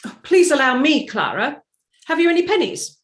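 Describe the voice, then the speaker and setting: A woman's voice: high voice, big pitch swings, moderate pace. A woman playing a genteel middle-aged mother in a staged play reading, prim, formal and politely commanding. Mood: brisk, imperious.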